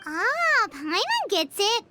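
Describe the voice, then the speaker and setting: Tiny eager fairy, extremely high squeaky voice, wildly swooping, childlike, naive, video game acting, noisy background. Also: female voice, big pitch swings, takes it slowly, heavily acted. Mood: eager, questioning.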